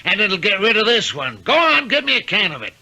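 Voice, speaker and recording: A blunt, skeptical American businessman dad from an old radio play. His voice is high and very sharp, swinging widely as he bosses people around. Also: sing-song, fairly quick, heavily acted. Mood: brusque, impatient. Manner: blunt, bossy, dismissive. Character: brash, stubborn American millionaire father, skeptical businessman. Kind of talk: 1970s radio drama acting. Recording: 1970s radio drama recording.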